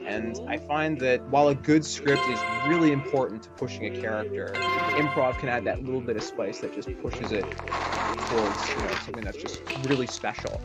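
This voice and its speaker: A man's voice: medium pitch, brisk. A man chatting casually as a voice actor guest in an interview, often checking in with tag questions. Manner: conversational, peppers talk with tag questions. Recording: loud music behind the voice. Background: loud music.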